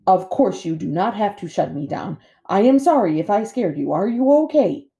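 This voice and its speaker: A woman voicing a gentle robot mother in a storybook, speaking politely and precisely in formal phrases. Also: mid-range voice, sing-song, moderate pace, dull audio. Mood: reassuring.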